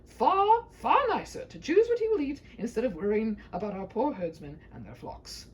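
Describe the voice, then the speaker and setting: A woman's voice: a little high, sing-song, moderate pace. A woman voicing a huffy, absent-minded but doting old king and father in a humorous fairy-tale reading, effusive and blustering. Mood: hearty, effusive.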